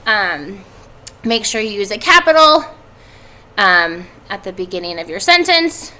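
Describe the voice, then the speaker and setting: A woman in her thirties, a schoolteacher speaking to children in a deliberate teacherly way, recorded at home on a webcam. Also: mid-range voice, very animated, pushed and bright. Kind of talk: read-aloud and instructions for schoolchildren. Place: indoors at home by a fireplace. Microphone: computer webcam at desk distance.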